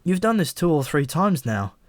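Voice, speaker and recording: A man's voice: on the high side, sing-song, moderate pace, no echo, pitched above the performer's own voice. A man voicing an irritable, insecure ordinary modern man in an audiobook, testy, incredulous and full of questions. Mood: questioning. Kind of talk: audiobook character voice.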